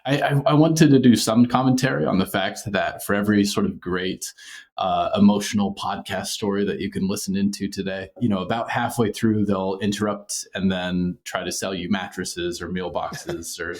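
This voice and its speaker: A man's voice: low-pitched, moderate pace, a little mellow. A man being interviewed as a podcast creator, talking conversationally and reflectively in long, winding sentences.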